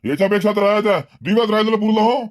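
A man's voice: sits high, steady tone, very fast, lightly acted. A man voicing a talkative, level-headed villager in a comedy cartoon, earnest and wordy, with a Varhadi Marathi accent. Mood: aggrieved, exasperated. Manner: earnest, wordy, repeats himself.

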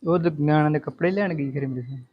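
A woman voicing a grown brother who answers in low, short mumbled replies. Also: racing, husky, muffled recording. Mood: calm. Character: grown brother, calm and brief.

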